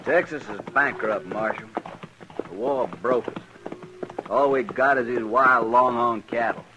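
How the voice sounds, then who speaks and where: A man playing a gruff, hard-bitten Texas cattle trail boss with folksy American cowboy talk in a 1950s radio western. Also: medium pitch, measured pace, lightly acted. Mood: somber. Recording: noisy old radio recording.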